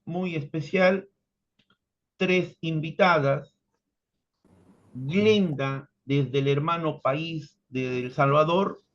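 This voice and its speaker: A man formally hosting an online film forum, introducing the event with ceremonious, deliberate phrasing. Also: on the high side. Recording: dull, lo-fi audio.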